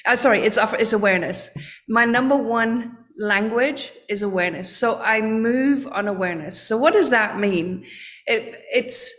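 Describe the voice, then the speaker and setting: Woman guest, earnest and halting, slightly high voice. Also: a bit bright. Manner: earnest, searching for words, repeats herself. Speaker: guest, trading coach. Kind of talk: podcast interview.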